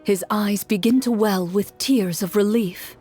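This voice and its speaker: A woman narrating a game scene in a slow, hushed, descriptive way. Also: medium pitch, faint music behind. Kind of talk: scripted story narration.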